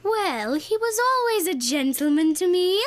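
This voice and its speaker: A woman's voice: extremely high pitch, sing-song, a little slow, dry recording, exaggerated voice. A young woman playing a prim, smitten lady in a comic radio play. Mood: dreamy admiring. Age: young adult.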